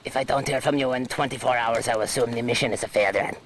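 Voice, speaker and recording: A man voicing a bossy, scheming terrorist commander in an amateur action-figure cartoon, pompous and deliberate, on a home-made recording. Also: medium pitch, steady tone, natural voice. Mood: commanding, scheming. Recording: very noisy home-made cassette dub.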